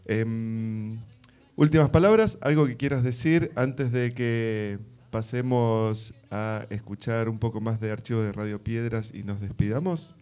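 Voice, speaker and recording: A man's voice: fairly low pitch, measured pace, a little mellow, clean tone. A man with an Argentine Spanish accent hosting a live radio interview.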